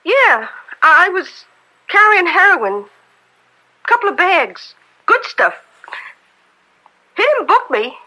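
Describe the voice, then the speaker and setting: Small-time female pusher, American, extremely high voice, slow swooping hesitant delivery, sheepish, radio drama. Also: very animated, bright, forceful tone, exaggerated voice, poor audio. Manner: hesitant, streetwise, drawn-out.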